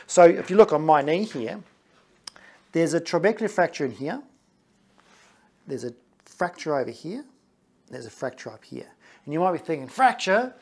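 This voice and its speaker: A man in his forties, a physiotherapist, chatting through his own knee scans to camera in a small clinic office, often checking in with okay and right. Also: on the high side. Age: 40s.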